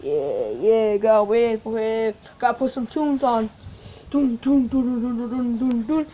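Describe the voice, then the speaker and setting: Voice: medium pitch, lively intonation, measured pace, dark tone. A puppeteer improvising a homemade puppet show in an informal, repetitive way, playing several characters. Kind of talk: improvised amateur puppet-show play-acting.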